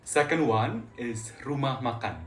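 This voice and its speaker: A man's voice: mid-range voice, measured pace, roomy sound, very noisy. A man from Indonesia as a guest in a casual chat, easygoing and explaining with examples.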